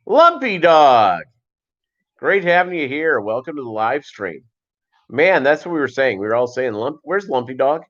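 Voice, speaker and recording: Deep-voiced man rambling on a lo-fi livestream. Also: sing-song, fairly bright tone. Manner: rambling, conversational, halting. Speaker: livestream host. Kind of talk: casual livestream chat answering viewers. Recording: dull, lo-fi livestream audio.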